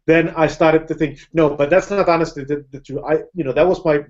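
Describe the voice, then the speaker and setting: A man hosting a live video-call chat, talking in a halting way with many restarts and easy laughs. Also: fairly high pitch, steady tone, a little mellow. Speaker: film director hosting a live video hangout. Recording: dull lo-fi video-call audio.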